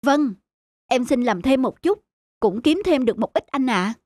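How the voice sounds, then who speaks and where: Soothing, patient cartoon wife. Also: female voice, high voice, expressive, unhurried, darkish tone, dry recording. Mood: caring. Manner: soothing, encouraging. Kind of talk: dubbed cartoon dialogue.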